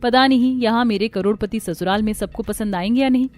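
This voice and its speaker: Woman voicing an eager young housewife daughter-in-law, high-pitched. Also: brisk, darkish tone, lightly acted. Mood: earnest, caring. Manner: polite, earnest, explaining. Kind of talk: Hindi cartoon story dialogue. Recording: music behind the voice.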